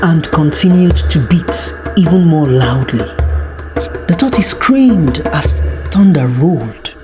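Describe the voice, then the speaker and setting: Very slow, dramatic folktale storyteller. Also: medium pitch, lively intonation, music in the background. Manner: deliberate, with frequent dramatic pauses. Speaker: storyteller, narrator. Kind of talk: dramatic scripted folktale narration.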